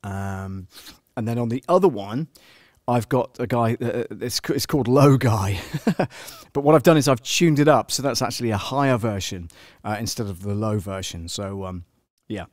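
A man in his fifties giving a casual live software tutorial, chatty and halting as he thinks aloud, on a close studio microphone. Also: on the low side, dry recording. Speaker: live-streaming audio producer demonstrating software. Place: small home studio indoors.

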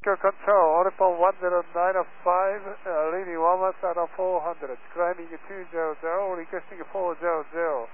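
A man's voice: sits high, steady tone, normal speed, hardly any pauses. A man working as an airline pilot, making procedural requests and readbacks over a tinny, noisy cockpit radio. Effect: cockpit radio transmission.

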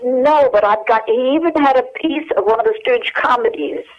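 An older woman reminiscing as an interview guest over a telephone line, with many pauses and restarts. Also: high voice. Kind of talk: casual phone interview.

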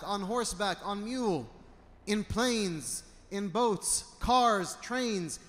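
A man in his thirties preaching a sermon as an imam, phrasing deliberately and emphatically in short bursts. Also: high voice, a bit bright. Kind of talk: sermon with Arabic recitation. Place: indoor prayer hall at a pulpit. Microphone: microphone on a stand. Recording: long hall reverb.

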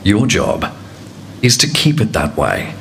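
Deep, slow male announcer with a commanding, measured read. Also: wildly swooping pitch, creaky, fairly bright tone, a little hiss or hum. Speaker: reality show voice of Big Brother. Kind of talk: scripted announcements.

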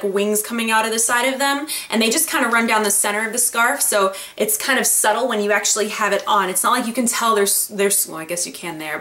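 Young woman vlogger, upbeat and chatty, a touch high, echoey home room. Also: pushed and bright. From Florida. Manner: chatty, lots of ums, upbeat. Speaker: beauty vlogger. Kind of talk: casual product haul talk. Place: home room with desk and lamp. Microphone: webcam at desk.

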